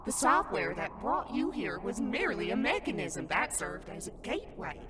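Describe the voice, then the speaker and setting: A woman's voice: a little high, big pitch swings, moderate pace, crowd noise. A woman voicing a playful, doting grandmotherly ghost guide in a theatrical, storybook manner for a cartoon fandub. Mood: grand, mysterious. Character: playful grandmotherly ghost sprite in clown garb, mysterious guide. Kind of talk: fandub character acting.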